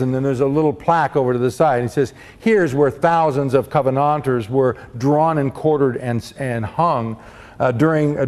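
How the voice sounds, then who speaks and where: Impassioned male preacher in a reverberant hall. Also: medium pitch. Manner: impassioned, rising to loud peaks when quoting martyrs. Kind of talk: storytelling sermon.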